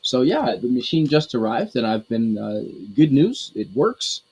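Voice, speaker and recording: A man from Canada talks on a casual podcast, with a husky, slightly breathy voice. He rambles and hesitates, restarting his sentences and leaning on fillers as he tells his story. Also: medium pitch, sing-song, unhurried, darkish tone, poor audio. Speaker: podcast co-host. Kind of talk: casual group podcast chat.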